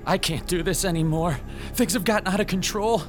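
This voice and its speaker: An actor playing a timid, bullied comic shop owner, hesitant and fretful, in a radio drama. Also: male voice, sits high, brisk, over music, natural voice. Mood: despairing, frantic.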